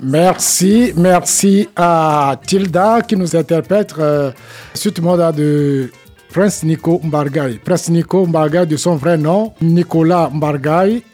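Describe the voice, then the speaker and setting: Slow, hesitant male radio host. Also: a little high, darkish tone, faint music behind. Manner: slow, many "euh" fillers. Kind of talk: community radio presenting.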